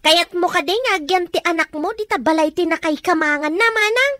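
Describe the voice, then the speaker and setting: An actress playing a nosy, excitable woman who gossips and needles in an Ilocano radio comedy drama. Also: very high voice, brisk, no echo, clean studio sound. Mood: pointed, provoking.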